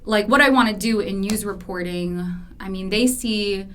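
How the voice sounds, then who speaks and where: A woman's voice: medium pitch, normal speed, a bit bright. A young adult woman from the Philippines, a college student answering casually as a guest in an interview.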